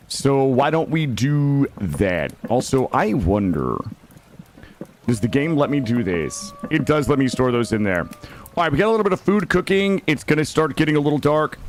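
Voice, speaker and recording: A man livestreaming a game, chatting casually and playfully with some mild swearing, his voice slightly gravelly and not yet warmed up. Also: a little high. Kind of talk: casual gaming livestream commentary and chat.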